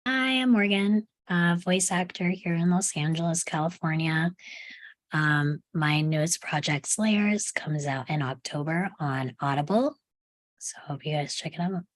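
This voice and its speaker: A woman's voice: sits low, unhurried, no room sound. A woman who works as a voice actor, talking casually over a video call. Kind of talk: casual chat.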